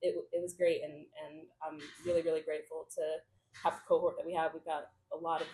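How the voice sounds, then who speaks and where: A woman's voice: sits low, level tone, quick pace, darkish tone, a little hiss or hum, low-quality recording. A young woman, a TV writer guest, chatting casually on a podcast with lots of fillers.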